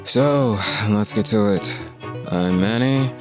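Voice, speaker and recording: A man hosting a casual lore video, his voice a bit croaky from a cold, talking in a laid-back, rambling way with jokey asides. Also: fairly low pitch, takes it slowly, over music.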